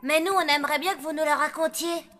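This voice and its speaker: A piercingly high, bright cartoon child. The kid is cheeky and pushy, slangy and bold. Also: female voice, fairly even intonation, fast talker. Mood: curious, pushy. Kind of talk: cartoon dubbing.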